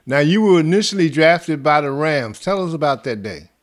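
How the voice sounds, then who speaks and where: A man interviewer asking measured, formal questions. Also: fairly high pitch, lively intonation.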